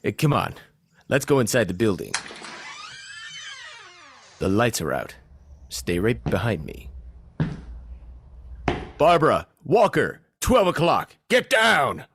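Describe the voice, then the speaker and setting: A man playing a bossy, insecure zombie hunter in a comedic audio play, with a husky voice and an excitable, commanding delivery. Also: mid-range voice, slow pace, long pauses, slight background noise, exaggerated voice. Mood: bossy, puzzled. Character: bossy, insecure male zombie-hunting team leader.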